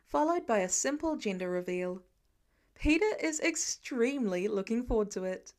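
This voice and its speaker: High-voiced woman narrating fiction. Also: darkish tone. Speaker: amateur audiobook narrator.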